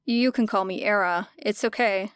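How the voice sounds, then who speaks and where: A weary, guarded teenage student, played by a woman for an audiobook. Her voice sits at a medium pitch and is slightly harsh and terse. The sound is dry and close. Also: normal speed, clean tone, no room sound, lightly acted. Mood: flat, guarded. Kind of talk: audiobook character voice.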